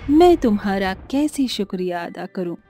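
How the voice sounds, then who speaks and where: A woman voicing a kind, grateful doll fairy in an animated moral story, gentle and measured. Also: medium pitch, soft background music, close to an everyday voice.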